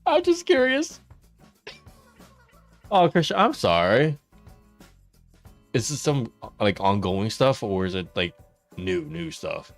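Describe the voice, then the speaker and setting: A man telling stories on a live stream while he plays a game, chatting with his viewers and laughing often. His loud voice is held down for the mic. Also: mid-range voice, wildly swooping pitch, slow, gravelly, long pauses, faint music behind. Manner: chatty, laughs often, reads and answers chat. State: mouth watering after dental work. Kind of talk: casual live-stream storytelling while gaming.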